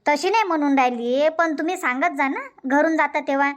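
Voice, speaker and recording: A very high-pitched cartoon housewife, acted by a woman, nags with an aggrieved air. She has a Varhadi Marathi village accent and scolds in repeated, complaining runs. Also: brisk, close to an everyday voice. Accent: Varhadi Marathi (Vidarbha). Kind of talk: Marathi comedy cartoon dubbing.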